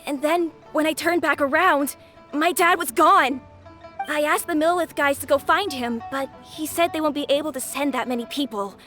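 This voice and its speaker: A woman's voice: sits high, normal speed, sharp tone, soft background music. A woman voicing a worried young village boy who speaks earnestly and politely in a video game. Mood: anxious.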